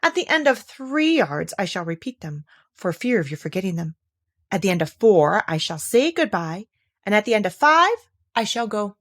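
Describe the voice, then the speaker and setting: A woman's voice: medium pitch, sing-song, normal speed, a bit bright, dry recording, studio quality. A woman voicing a bossy yet good-natured chess queen from a storybook, exclamatory, imperious and matter-of-fact. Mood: brisk, good-natured.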